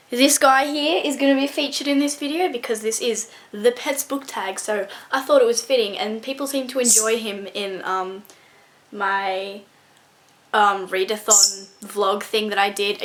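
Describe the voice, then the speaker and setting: A young woman talks casually to her camera about books, her voice sitting a little on the high side. She rambles in a friendly, chatty way, padding her sentences with ums and likes. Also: steady tone, moderate pace, a bit bright. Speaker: book vlogger.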